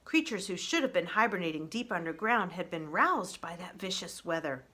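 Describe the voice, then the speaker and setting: A woman reading a children's story aloud in an expressive storybook narration style, as a librarian would. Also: on the low side, moderate pace.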